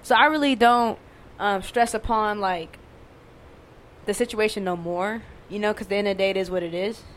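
A young woman with a fairly monotone voice, talking candidly and casually, swearing and using lots of fillers. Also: mid-range voice, normal speed, slight background noise. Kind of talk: casual conversation.

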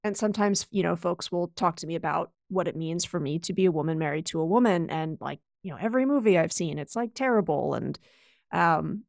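A woman's voice: on the low side, brisk, vocal fry, darkish tone, no echo, clean studio sound. A middle-aged woman hosting a podcast, talking conversationally and thoughtfully with plenty of filler words. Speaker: podcast host. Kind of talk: podcast interview.

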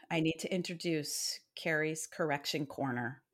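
A woman's voice: low-pitched, slow, fairly bright tone. A woman co-hosting a casual podcast, chatty and self-deprecating, laughing often. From Iowa. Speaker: podcast co-host. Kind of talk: casual true-crime podcast chat.

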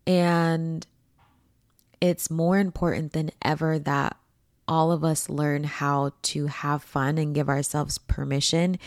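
A woman with a hoarse, froggy voice giving a casual motivational podcast talk as host and coach, informal and emphatic. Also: sits low, a little mellow. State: hoarse from allergies.

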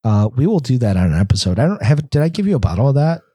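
A man's voice: medium pitch, sing-song, rapid-fire, mellow, breathy edge, no echo, clean studio sound. A man co-hosting a podcast in casual chat, slightly congested, rambling with lots of fillers. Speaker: podcast co-host.

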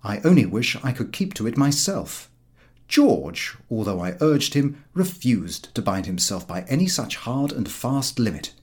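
A man reading a humorous story as an audiobook narrator, in a wry, expressive storytelling manner. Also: mid-range voice, unhurried.

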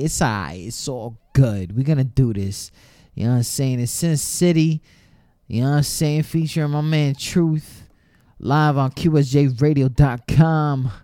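A man with a high voice hosting online radio as a DJ, giving slangy, repetitive shout-outs. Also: clear, resonant tone, no room sound, studio quality. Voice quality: high-pitched voice. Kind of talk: hype radio shout-outs over music. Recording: music playing under the voice. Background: music.

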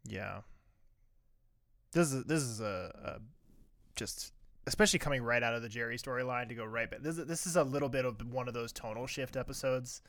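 Bright dry-sounding man recapping an episode. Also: mid-range voice, brisk, no room sound. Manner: stammering restarts, joking asides. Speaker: podcast co-host. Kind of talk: casual chat recapping a TV episode.

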